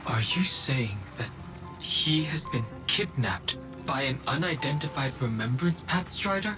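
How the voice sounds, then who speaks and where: An actor playing a solemn, guarded young male guard in a video game, speaking in a grave, formal and measured way. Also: mid-range voice, lively intonation, lightly acted. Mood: grave, weary. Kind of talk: dramatic video game voice acting. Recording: game dialogue over clear background music.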